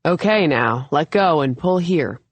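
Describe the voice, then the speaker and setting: A woman's voice: low voice, lively intonation, unhurried, no room sound, heavily acted. A woman voicing an easygoing man who teaches sailing in an audiobook, patient, encouraging and lightly teasing. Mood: coaching, upbeat. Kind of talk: audiobook character dialogue.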